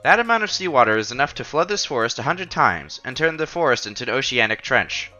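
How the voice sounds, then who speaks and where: A man streaming a visual novel, reading the story aloud with casual, playful, expressive commentary. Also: medium pitch, quick pace. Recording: dull lo-fi sound, music behind.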